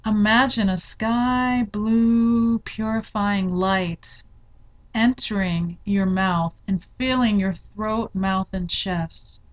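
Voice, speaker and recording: A woman leading a guided yoga nidra meditation, giving instructions in a measured, deliberate way with spaced-out phrases. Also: mid-range voice, steady tone, muffled recording.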